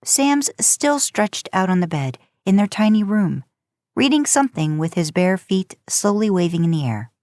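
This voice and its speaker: A woman's voice: mid-range voice, big pitch swings, normal speed, a little mellow, dry recording, studio quality. A woman reading a story aloud in audiobook-style narration. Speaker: podcast host and fan fiction narrator.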